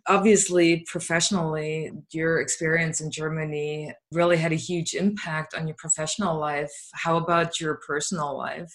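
A woman interviewing a guest, asking measured, deliberate questions and adding her own comments. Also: sits low, little pitch movement.

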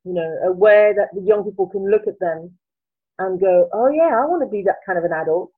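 A woman's voice: mid-range voice, animated, fairly quick, breathy edge. French-accented woman on a tinny line. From France. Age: 40s. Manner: thoughtful, searching for words, with restarts. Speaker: guest, coach. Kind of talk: reflective interview answers.

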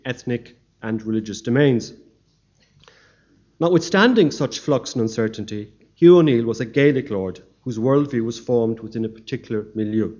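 A man delivers a formal history lecture, reading his paper at an even, measured pace. His pitch sits in an ordinary middle range. The recording is dull and lo-fi.

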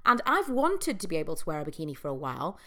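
A woman's voice: sits low, huge pitch swings, fast, bright, forceful tone. A young woman hosting a solo podcast, talking in a chatty, reflective way with frequent ums and likes, her voice congested from a cold. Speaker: podcast host. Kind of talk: casual solo podcast monologue.

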